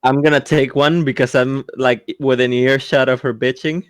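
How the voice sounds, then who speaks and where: A man's voice: medium pitch, flat delivery, moderate pace, dry recording. A man playing a tabletop role-playing game, casually talking through his moves.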